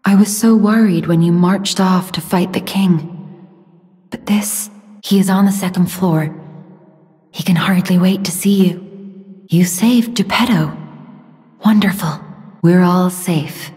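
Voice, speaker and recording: An actress playing a gentle, sorrowful young mystical woman in a video game, speaking softly and breathily in a solemn, earnest, measured way. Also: lowish voice. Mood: gentle, wistful. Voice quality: soft, breathy, airy. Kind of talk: dramatic video game voice acting. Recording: long reverb, music behind the voice.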